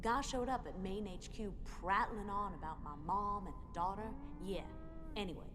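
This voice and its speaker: An actress playing a guarded, businesslike young cargo boss in a video game, speaking in a dry, matter-of-fact way. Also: female voice, mid-range voice, normal speed, noisy background, lightly acted. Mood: wry. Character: guarded, businesslike young woman running a cargo trading outfit. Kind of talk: video game dialogue acting.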